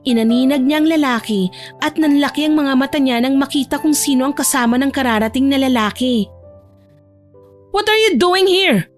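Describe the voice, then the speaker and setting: A woman with a fairly high voice narrates a scripted romance story, audiobook style. Soft music runs behind her narration. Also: steady tone, brisk.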